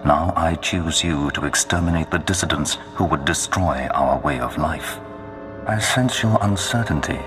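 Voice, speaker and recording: Game villain: dark imperial overlord, menacing and grandiose, bottomless bass pitch, music underneath. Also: male voice. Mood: ominous, commanding. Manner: grandiose, slow, commanding. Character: menacing male Sith lord of a dark empire. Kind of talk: video game villain acting. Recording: long cavernous reverb.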